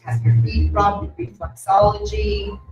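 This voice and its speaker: A woman's voice: medium pitch, lively intonation, unhurried, muffled recording, music in the background. A woman giving intuitive readings to a live audience, chatty and rambling, with many fillers and asides. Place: event room with an audience. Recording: echoey room.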